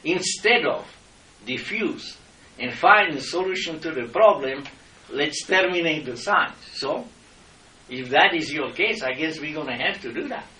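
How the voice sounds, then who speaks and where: A man's voice: on the high side, lively intonation, measured pace, pushed and bright. A middle-aged man from the Czech Republic with a Czech accent, arguing his case in an impassioned, lecturing way with non-native grammar. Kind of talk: heated argument with officials. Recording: echoey room, mic at a distance.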